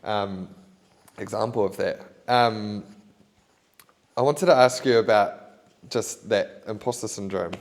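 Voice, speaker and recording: A man's voice: lowish voice, takes it slowly, long pauses. A man hosting an interview, thinking aloud in long, rambling turns full of "you know" and "bro". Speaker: podcast host. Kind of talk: casual interview chat.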